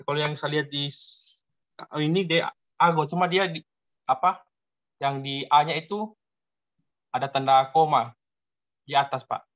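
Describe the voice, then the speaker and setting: A man's voice: fairly high pitch, fairly even intonation, measured pace, long pauses, lo-fi sound. A man with an Indonesian accent, a student asking questions in class and searching a little for his words.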